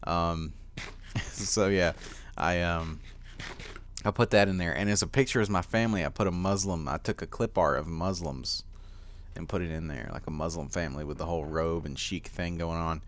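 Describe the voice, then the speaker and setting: A teenage boy with a deep voice giving casual gameplay commentary, rambling with lots of fillers and easy laughs. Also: normal speed. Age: teens. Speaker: gaming commentator.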